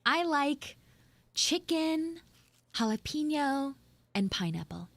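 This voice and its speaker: A woman's voice: high-pitched, big pitch swings, slow pace, dry recording, pitched above the performer's own voice, exaggerated voice. A woman voicing a flustered, shyly smitten tsundere schoolgirl in a live audio roleplay, hesitant and coyly defensive. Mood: flustered, chatty.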